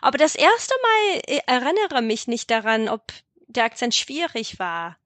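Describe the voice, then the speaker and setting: A woman's voice: high voice, sing-song, measured pace, a bit bright, no room sound. A woman from England with an English accent, a guest on an interview telling anecdotes in learned German, simple phrasing and frequent laughs. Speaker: guest, language teacher. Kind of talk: casual interview chat.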